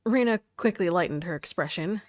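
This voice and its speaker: A woman's voice: fairly low pitch, animated, fairly quick, a little mellow. A woman streaming a visual novel, reading narration aloud with giggly, amused asides. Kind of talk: live game stream with read-aloud narration and reactions.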